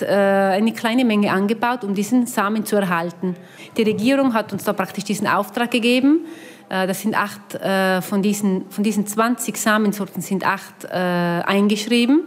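A woman with an Austrian accent tinged with Italian, speaking at a middling pitch. She gives explanatory interview answers as a farmer, a little hesitant as she searches for words. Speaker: rice farmer being interviewed.

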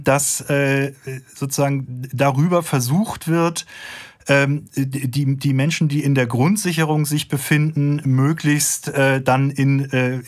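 A man as an expert guest on a radio discussion, measured and hesitant, with many fillers. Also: mid-range voice.

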